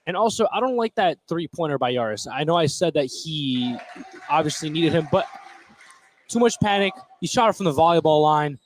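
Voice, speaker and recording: Higher-voiced American male color commentator. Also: expressive, normal speed, a little hiss or hum. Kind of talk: casual live sports commentary.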